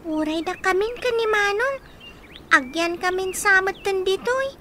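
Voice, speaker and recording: A woman's voice: extremely high pitch, animated, measured pace, bright, forceful tone, a little hiss or hum, heavily acted. A woman playing a curious, caring young child in a radio drama, earnest and full of questions. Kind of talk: Ilocano radio drama acting.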